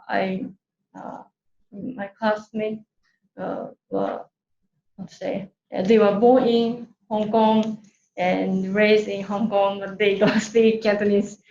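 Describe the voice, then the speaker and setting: A woman with a Japanese accent presents an online workshop at a slow, halting pace. Her voice has some creak in it, and she often searches for words. The recording carries a roomy echo. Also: mid-range voice, darkish tone, lo-fi sound. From Tokyo, Japan. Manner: hesitant, searching for words in a second language. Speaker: workshop speaker. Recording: roomy echo, clear background noise.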